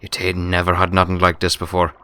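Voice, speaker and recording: A man's voice: deep voice, completely flat delivery, brisk, bright, forceful tone, clean tone. A man voicing a folksy, helpful pony servant in a story reading, plainspoken and familiar. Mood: friendly, practical.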